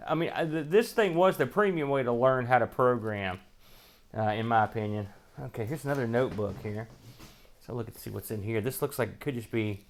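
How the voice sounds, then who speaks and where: An American man with a noticeably creaky, gravelly voice at an ordinary male pitch hosts a casual unboxing. He rambles along, thinking out loud as he sorts through items and comments on them. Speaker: host of a retro computing show.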